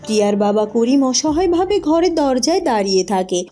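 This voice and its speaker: A woman's voice: high voice, sing-song, a little fast, dark tone, faint music behind. A woman giving scripted storybook narration for a cartoon folk tale.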